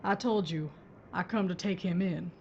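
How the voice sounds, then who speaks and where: A woman's voice: on the low side, normal speed, vocal fry, highs cut off, slight background noise. A woman playing a blunt sheriff. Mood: steely. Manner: terse, dry, gritty. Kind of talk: audio drama acting.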